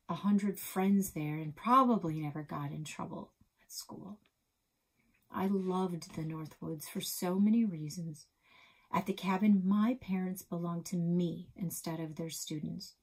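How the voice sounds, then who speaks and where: Husky-voiced woman reading a storybook. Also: fairly low pitch, low-quality recording. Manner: calm storytelling, soft breathy passages. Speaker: librarian reading aloud. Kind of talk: children's book read-aloud. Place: small indoor office.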